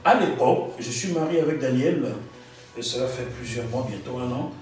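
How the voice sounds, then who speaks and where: A man tells his own story as an interview guest, animated and fond of repeating himself for weight. He sits at a distance from the mic in a very echoey room, with faint music underneath. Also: mid-range voice, brisk. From Cameroon. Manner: animated, repeats phrases for emphasis. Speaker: guest author.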